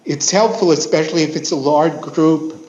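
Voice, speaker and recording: A man's voice: on the high side, moderate pace, darkish tone, slight background noise. A man on a panel, asking questions and adding tips in a hesitant way with "uh" and "um". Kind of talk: panel discussion. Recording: dull, roomy conference recording.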